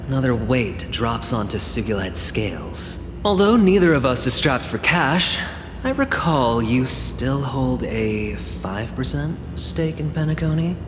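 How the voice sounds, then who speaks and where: A man's voice: medium pitch, natural voice. Man voicing a wry, laid-back gambler. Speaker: game character. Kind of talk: video game voice acting. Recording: echoey room with long reverb, noise.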